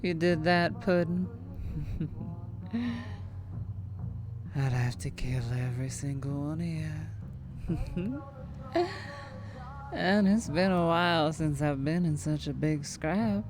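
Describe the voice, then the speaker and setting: A woman's voice: sits low, lively intonation, music in the background. A woman voicing a teasing, menacing, sweet-talking vampire trucker with a Southern US accent, in an intimate ASMR roleplay. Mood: playful menace. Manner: slow, teasing drawl with pet names and chuckles.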